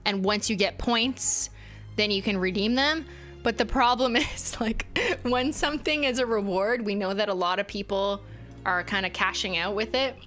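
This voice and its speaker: A woman's voice: mid-range voice, normal speed, fairly bright tone, soft background music. A young woman hosting a casual livestream, reading chat with a playful, teasing manner and frequent laughs. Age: young adult. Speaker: livestream host. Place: home streaming room, indoors. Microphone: studio mic on boom arm.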